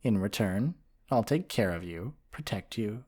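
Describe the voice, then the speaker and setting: Man playing a low-voiced flirty dragon-blooded librarian. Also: animated, a little slow, mellow, breathy edge. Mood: intense, possessive. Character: flirty, possessive half-dragon male librarian, earnest and affectionate. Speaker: ASMR roleplay performer. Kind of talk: ASMR romantic roleplay monologue.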